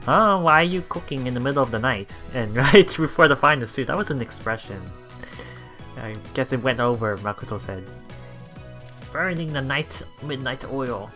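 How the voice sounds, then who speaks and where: A man reading a visual novel aloud as a let's play streamer, haltingly, with repeats and self-corrections. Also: mid-range voice, expressive. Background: soft game music.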